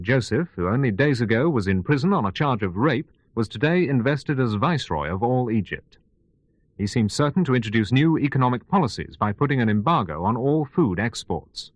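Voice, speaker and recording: A man playing a studio news anchor, reading a scripted bulletin in a formal, measured, authoritative way for a radio drama. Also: mid-range voice, no room sound. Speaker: news presenter.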